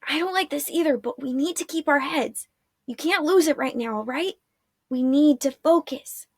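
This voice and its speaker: A woman voicing a nervous young orphan boy who speaks in an urgent, hushed way. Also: high-pitched, moderate pace, no echo, studio quality, pitched above the performer's own voice.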